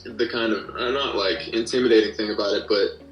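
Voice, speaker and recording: Low-voiced man in a roomy, distant recording. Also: fairly even intonation, dull audio, soft background music. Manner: hesitant, halting delivery with frequent hedging. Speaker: podcast guest, musician and creator of an audio drama. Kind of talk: casual interview chat. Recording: roomy echo, distant from the mic.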